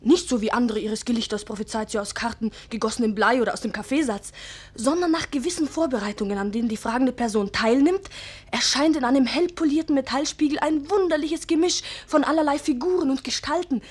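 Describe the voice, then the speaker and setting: A young confidante in a radio play. Her high, breathy voice is knowing, teasing and secretive. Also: fairly quick. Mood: conspiratorial. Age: young adult. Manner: teasing, conspiratorial. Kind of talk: German radio drama.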